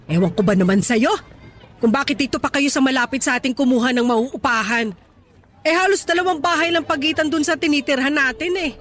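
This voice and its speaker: Radio-drama role: sharp, high, bright-voiced nagging mother, bitter and reproachful. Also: fairly quick. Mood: scolding. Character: scolding poor mother, middle-aged, bitter and nagging. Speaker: actor.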